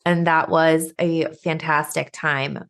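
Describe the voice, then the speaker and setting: A woman in her thirties co-hosting a book podcast, gushing and quick to laugh in casual chat. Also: low voice, flat delivery, vocal fry, a bit bright, clear, resonant tone. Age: 30s. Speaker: podcast co-host.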